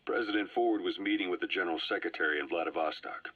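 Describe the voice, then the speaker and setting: An actor playing a sly, composed Soviet spy in a video game, speaking in a low husky voice with measured dramatic pauses on a cassette recording. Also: male voice, no echo. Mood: grave. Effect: cassette tape filter. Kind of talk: scripted dramatic game dialogue, recounting history. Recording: dull, boomy cassette tape playback.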